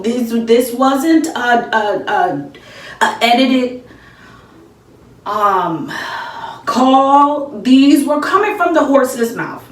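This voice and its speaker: An American woman in her thirties giving emphatic, casual, opinionated commentary to camera, repeating phrases for effect. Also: high voice. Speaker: YouTube commentator. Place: small indoor room with bare walls. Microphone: camera a few feet away. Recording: echoey room, distant mic.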